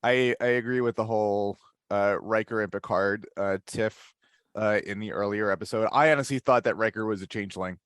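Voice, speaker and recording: A man's voice: lowish voice, fairly quick, a bit bright, clean tone, no echo, studio quality. A man talking casually as a panelist in a podcast discussion.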